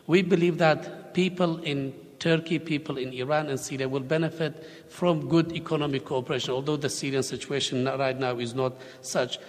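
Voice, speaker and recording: A man giving measured, diplomatic remarks as a panel speaker in a conference hall. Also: medium pitch, fairly even intonation. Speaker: panel speaker for a regional government. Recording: long reverb of a conference hall.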